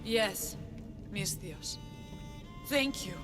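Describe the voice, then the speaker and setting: An actress playing a desperate, hungry villager, earnestly pleading for mercy in a video game cutscene. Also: female voice, medium pitch, animated, drawn-out words, long reverb tail, music in the background.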